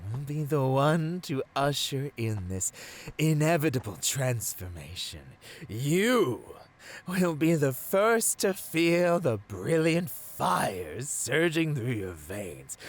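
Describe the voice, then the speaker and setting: Theatrical, slow, seductive supervillain. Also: male voice, mid-range voice, slight background noise. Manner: theatrical, grandiose, slow savoring delivery with breathy drops to whispers. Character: gloating seductive male supervillain taunting a caged hero. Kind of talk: ASMR villain roleplay monologue.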